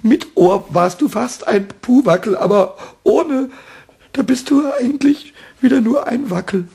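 An actor playing a mischievous, childish little male kobold in a radio play, with a high squeaky, giggly voice that stammers when he gets excited. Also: measured pace, vocal fry, full character voice. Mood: playful. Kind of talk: 1960s radio play acting.

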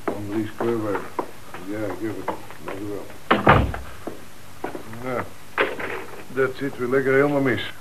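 Low-voiced wry detective played by a man, noisy recording. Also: unhurried, long pauses, close to an everyday voice. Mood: wry, grumbling. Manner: wry, matter-of-fact. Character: wry, grumbling male police detective. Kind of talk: radio play acting. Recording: noisy, crackly old radio play recording.

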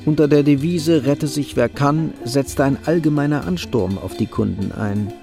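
A man's voice: mid-range voice, normal speed, gravelly, mellow, soft background music, natural voice. A man narrating a literary radio drama as a sober storyteller. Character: sober storyteller of a young couple's hardships.

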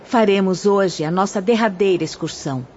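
A woman's voice: mid-range voice, moderate pace, a little mellow, lightly acted. A woman playing a kindly young spirit guide in a radio drama, formal, gracious and instructive. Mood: composed, gracious. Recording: dull, faintly noisy audio.

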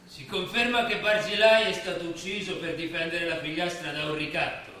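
An inquisitive newspaper reporter, formal and high-voiced. He questions probingly from far off in a very echoey space. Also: fairly even intonation, moderate pace, sharp tone. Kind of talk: stage drama acting. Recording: echoey theatre stage, distant, faint noise.

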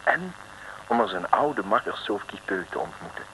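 A man as a wry, animated radio storyteller. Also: fairly low pitch, normal speed, close to an everyday voice. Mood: wry, mock-dramatic. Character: wry male storyteller of a comic radio serial. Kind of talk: scripted narration. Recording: noisy old radio recording.

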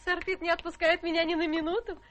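Sweet young governess, super high voice, modest and ingratiating, radio drama. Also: female voice, little pitch movement, fast talker. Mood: demure, sweet. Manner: demure, ingratiating. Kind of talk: Russian theatrical radio drama acting.

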